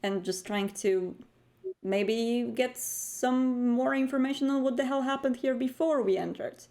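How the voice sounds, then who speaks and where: A woman's voice: fairly high pitch, a little slow, warm tone. A woman playing a tabletop roleplay game, casually narrating what her character does.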